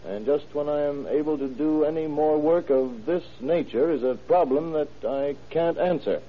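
An actor playing a wry, needling, eager police detective with a folksy, teasing manner in old-time radio crime drama style. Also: male voice, on the high side, moderate pace, natural voice. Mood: deliberate, reading aloud. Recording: tinny, noisy vintage radio recording.

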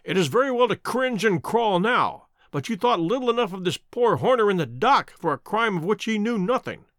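A man voicing a self-assured Victorian detective in an audiobook, measured, stern and dryly mocking. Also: high voice, big pitch swings, fairly bright tone, no echo, clean studio sound. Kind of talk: dramatic audiobook character reading.

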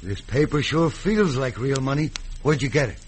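An actor playing a tough, swaggering Old West outlaw in a radio drama, blunt, folksy and menacing. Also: male voice, mid-range voice, expressive, moderate pace, lightly acted. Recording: faintly noisy old radio recording.

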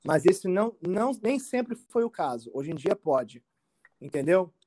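A man's voice: high-pitched, big pitch swings, darkish tone, dry recording. Brazilian man explaining, close dry sound. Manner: halting, repetitive, checks understanding often. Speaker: immigration expert being interviewed. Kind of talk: informal explanatory interview answers.

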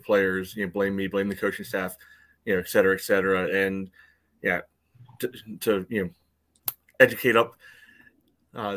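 A man on a sports podcast talking casually and haltingly, often dropping in "you know". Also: lowish voice, fairly bright tone. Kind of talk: casual soccer discussion.

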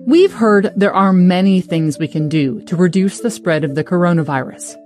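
Woman hosting a news bit, brisk punchy read, soft music under it. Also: lowish voice. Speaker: news host. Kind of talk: scripted news intro. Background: faint music.

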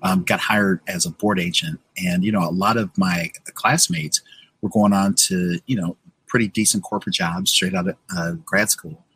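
Husky American man in his forties. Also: sits low, lively intonation, normal speed, fairly bright tone, poor audio. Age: 40s. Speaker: interview guest, professional telling career story. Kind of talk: casual conversational storytelling.

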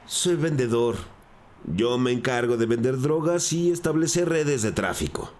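An actor playing a cornered gang drug dealer in a crime audio drama, defensive, earnest and pleading. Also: male voice, mid-range voice, expressive, measured pace, darkish tone, close to an everyday voice.

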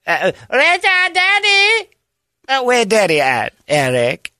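A man putting on a halting broken-English accent caricature, squealing and stammering. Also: extremely high pitch, huge pitch swings, pushed and bright, no echo, clean studio sound, pitched above the performer's own voice, exaggerated voice. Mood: excited.

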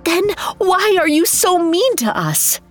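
A woman voicing a wronged young woman in a dark fairy tale, earnest and defiant, pleading with emphasis. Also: high voice, sing-song, slow pace, soft background music, pitched above the performer's own voice, heavily acted. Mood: anguished.

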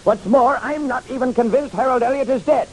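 An actor playing a sceptical, insistent estate lawyer with formal, emphatic delivery in an old-time radio drama. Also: male voice, very high-pitched, a little fast, a little mellow, rough recording.

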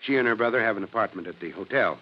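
An actor playing a jovial, teasing middle-aged fire chief in a vintage radio drama, with a hearty, authoritative manner. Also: male voice, fairly low pitch, rapid-fire, husky. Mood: brisk, amused. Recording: muffled old radio broadcast.

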